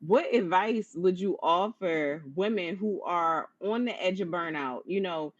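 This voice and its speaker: A woman with a low-pitched voice hosting a conversational interview in an encouraging, affirming manner. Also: unhurried, dry recording. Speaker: interview host. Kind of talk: conversational live-streamed interview. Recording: dull lo-fi online stream audio.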